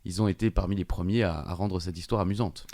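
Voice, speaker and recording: A man's voice: low voice, steady tone, fast, dark tone, dry recording, studio quality. A man co-hosting a quiz podcast, chatting casually and reading off long lists of facts between jokes. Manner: reads show facts in long run-on lists, chatty and joking. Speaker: quiz co-host.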